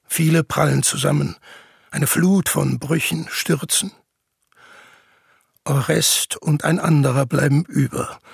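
Gravelly old messenger in Greek tragedy. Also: male voice, fairly high pitch, no echo, studio quality, exaggerated voice. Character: old male messenger stranger bringing grave news, solemn. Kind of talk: classical Greek tragedy radio play, declaimed verse.

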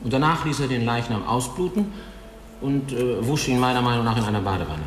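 A blunt, cynical coroner, played by a man in a radio drama, rattling off his findings at a brisk clip. His coarse, matter-of-fact voice rings in a roomy hall with a long reverb tail. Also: medium pitch, expressive, faint music behind, lightly acted. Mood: clinical. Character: gruff cynical male coroner, older, blunt.

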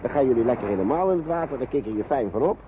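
An actor playing a gentle, anxious English lawyer in a Dutch radio play, coaxing and sometimes stammering. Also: male voice, medium pitch, animated, very fast, muffled recording, close to an everyday voice. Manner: hesitant, stammering when pleading, coaxing. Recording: noisy old radio play recording.

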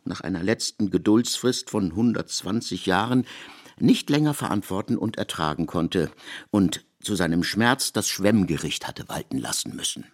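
A man's voice: low voice, expressive, moderate pace. A man delivering scripted literary narration for radio, telling it expressively and with emphasis.

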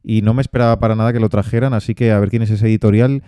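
Fast-talking, deep-voiced Spanish man. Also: clean tone, no echo, studio quality. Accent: Spanish from Spain. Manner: relaxed, talks things through with fillers. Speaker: podcast co-host. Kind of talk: casual board game news chat.

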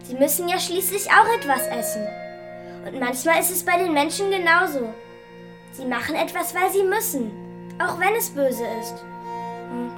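A woman's voice: very high voice, animated, moderate pace. An actress voicing a lonely, eerie little girl in a radio play, with music playing behind her. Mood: musing.

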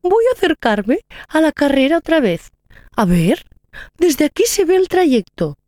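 Storybook hare female actor: overconfident, breezy, high-pitched, very lively intonation. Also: a little slow, no room sound, studio quality. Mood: cheerful, smug. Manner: breezy, chatty, exclaiming. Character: carefree, chatty, overconfident mother hare. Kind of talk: children's audio story acting.

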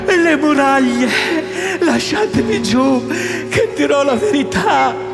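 A terrified prisoner begging in a radio play, high wailing voice, big hall reverb, music under it. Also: male voice, expressive, slow, heavily acted. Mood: broken, agonized confession. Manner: stammering, pleading, theatrical. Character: terrified commoner man accused of plague-smearing, pleading under torture. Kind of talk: Italian radio drama acting.

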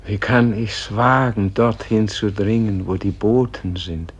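An actor playing the humble, self-doubting soul of a martyred leader in a solemn radio play, speaking in a reverent, halting, prayerful way. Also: male voice, on the low side, fairly even intonation, slightly rough. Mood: timid, humble. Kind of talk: solemn classic German radio play acting.

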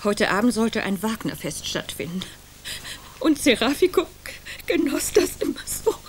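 An actress playing a theatrical older woman, a former celebrated opera singer, who speaks grandly and drops into hushed whispers. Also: on the high side, big pitch swings, normal speed, slight background noise. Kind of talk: radio drama acting.

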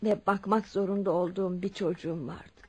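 An actress playing a wealthy, kindly widow near seventy in a Turkish radio drama, frank and dignified. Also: female voice, mid-range voice, steady tone, brisk, slightly rough, lightly acted. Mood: sorrowful. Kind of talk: Turkish radio theatre acting.

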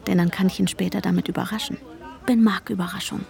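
A woman's voice: medium pitch, steady tone, fast, vocal fry. Intense lovestruck woman, radio drama narrator. Intimate, brooding, sometimes whispering, over prominent music. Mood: wry, confiding. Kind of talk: German radio drama first-person narration.

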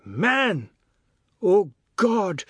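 A male narrator performs an outraged, complaining young tattletale in a storybook. The voice jumps very high and turns husky. It has a Caribbean accent and a loud, whining delivery. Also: sing-song, extremely slow, no room sound, exaggerated voice. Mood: wailing complaint. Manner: shouting, complaining.

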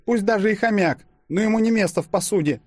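Man putting on a high-pitched, indignant young waitress. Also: quick pace, a little mellow, no room sound. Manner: exclaiming, indignant. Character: brisk, squealing young waitress, indignant and firm.

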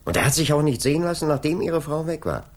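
An actor playing a politely persistent police inspector in a radio play, questioning insistently with drawn-out, swooping phrases and a creaky voice. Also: male voice, fairly high pitch, natural voice. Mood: insistent, suspicious. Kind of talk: 1980s German radio play acting.

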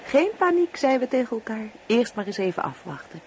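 A woman's voice: fairly high pitch, big pitch swings, a little fast, a little mellow. An actress playing an affectionate, middle-aged married woman in a radio drama, speaking tenderly and earnestly, anxious to please. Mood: earnest, apologetic. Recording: radio play with faint background noise.

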